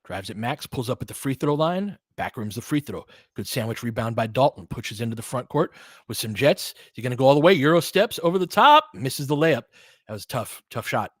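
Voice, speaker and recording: A man's voice: mid-range voice, animated, brisk, no room sound, studio quality. A man doing live basketball commentary as host, conversational and analytical, thinking aloud as he goes.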